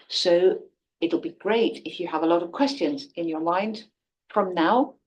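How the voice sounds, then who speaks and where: A woman teaching an online class, speaking clearly and evenly and putting quiz questions to her students. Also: fairly low pitch, a little mellow, rough recording. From Britain. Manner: clear, measured, poses quiz questions to the viewer. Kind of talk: online class introduction video.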